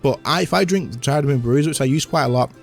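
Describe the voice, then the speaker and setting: A man with a slightly hoarse voice, giving a casual game tutorial and chatting as he demonstrates on screen. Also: medium pitch, fairly quick, faint music behind. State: slightly hoarse, losing his voice. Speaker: gaming content creator.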